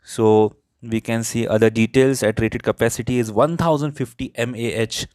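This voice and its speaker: Clear-voiced man reviewing a gadget. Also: mid-range voice, fairly even intonation, moderate pace, a little mellow. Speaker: tech reviewer, host. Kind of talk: casual unboxing and product review commentary.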